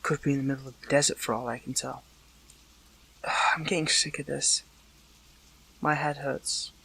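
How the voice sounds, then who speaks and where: A young man playing a captured hero in an audio roleplay, earnest, conflicted and lovelorn, with stammering, pleading, breathy delivery. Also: medium pitch, flat delivery, normal speed, bright, forceful tone, full character voice, poor audio. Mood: weary, anguished. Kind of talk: dramatic audio roleplay acting.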